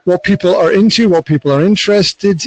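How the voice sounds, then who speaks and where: A middle-aged man with a slightly raised pitch talks loosely and easily, doubling back on his words and acting out little remembered exchanges. The sound is close and dry, with little room around it, picked up on a phone outdoors on a balcony. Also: brisk, no room sound, poor audio. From Scotland. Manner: relaxed, rambling, with frequent restarts and quoted asides. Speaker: podcast host and business coach. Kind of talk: casual conversation over an app. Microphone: mobile phone.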